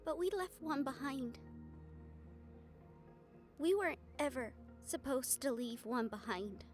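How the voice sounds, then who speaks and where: A woman playing a guarded, protective young fiancée in an intimate audio roleplay drama, speaking earnestly and emphatically with deliberate pauses. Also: high voice, expressive, faint music behind. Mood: regretful.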